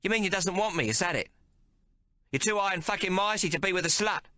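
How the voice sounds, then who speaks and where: A man's voice: medium pitch, sing-song, moderate pace, pushed and bright, dry recording, studio quality, pitched above the performer's own voice. A man reading aloud and voicing a coarse, fiery young female prostitute. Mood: furious. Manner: coarse, bold, mocking.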